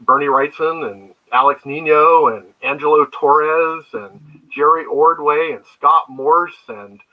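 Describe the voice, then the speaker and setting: A man's voice: on the high side, steady tone, measured pace, phone-quality sound. An older man, a guest comics creator, telling rambling anecdotes in a casual interview.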